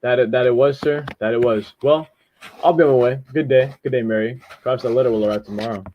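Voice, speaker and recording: Man playing quick, folksy village postman, noisy recording. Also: medium pitch, dull audio, natural voice. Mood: somber, chatty. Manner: folksy, repeats himself. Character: Gilly, kindly, talkative male village postman. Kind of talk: radio drama acting.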